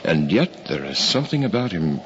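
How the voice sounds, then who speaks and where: An actor playing an imperious, suspicious Roman officer in a 1950s radio drama, with formal, sardonic authority. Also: male voice, sits low, very animated, moderate pace. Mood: measured, formal. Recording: muffled, noisy 1950s radio recording.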